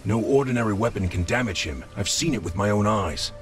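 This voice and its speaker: A resolute war-leading lord, played by a man, grave and commanding in a fantasy game. His voice is on the low side, with faint music behind. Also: expressive, a little fast, lightly acted. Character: stern, resolute medieval lord and war leader.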